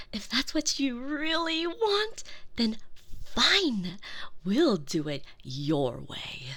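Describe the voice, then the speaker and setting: A woman's voice: mid-range voice, a bit bright, heavily acted. A woman playing a sweetly doting but obsessive, volatile girlfriend in an intimate, close-miked ASMR roleplay. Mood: bitter, then menacing, possessive. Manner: intimate, cooing, often dropping into breathy whispers. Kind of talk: scripted ASMR roleplay acting. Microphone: close binaural microphone.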